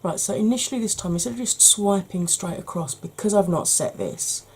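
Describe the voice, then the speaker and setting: A woman, hoarse from hay fever, chatting through a makeup tutorial step by step and speaking straight to her viewers, with frequent ums. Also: mid-range voice, lively intonation, a little fast, darkish tone, slight background noise.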